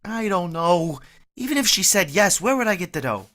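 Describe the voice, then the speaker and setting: A woman's voice: lowish voice, very animated, normal speed, a bit bright. A woman playing a bashful, stammering young man. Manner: stammering, timid. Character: bashful, tongue-tied young man of twenty-one. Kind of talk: vintage radio play acting.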